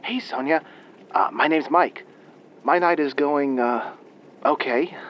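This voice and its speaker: Guy doing bashful lovesick convict caller, hesitant, slow talker, muffled noisy phone, audio drama. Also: on the high side. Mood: bashful, lonely. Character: shy, lonely, lovestruck male prison inmate caller. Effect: phone caller filter. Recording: dull phone line with noise.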